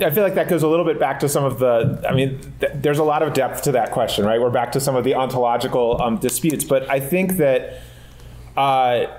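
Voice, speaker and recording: A man's voice: medium pitch, a little fast, a little mellow. A man answering as a panelist in a legal discussion, speaking thoughtfully with frequent fillers and restarts.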